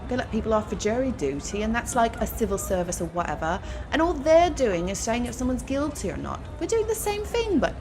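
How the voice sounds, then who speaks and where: An actress as a young British woman eco-activist in a satirical audio drama, with a fairly high voice. She is bossy, slangy and sure of herself while being muddled. Clear outdoor street noise sits behind her. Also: big pitch swings, a little fast, few pauses. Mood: casually know-it-all. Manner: confident, preachy, casual slangy talk. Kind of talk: naturalistic audio drama comedy dialogue.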